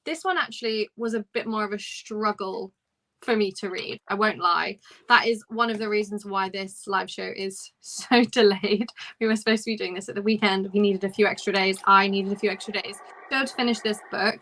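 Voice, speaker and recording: Woman doing a chatty book livestream, really bright voice, informal and loose. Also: medium pitch, fairly even intonation, fairly quick. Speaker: host of a book discussion live show. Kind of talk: casual book chat on a livestream.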